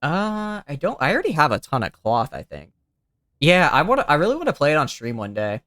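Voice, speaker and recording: A man's voice: on the high side, huge pitch swings, brisk, a bit bright, dry recording, studio quality. A young man streaming a game, chatting casually and rambling as he thinks aloud, with a youthful-sounding voice. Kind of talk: casual gameplay commentary.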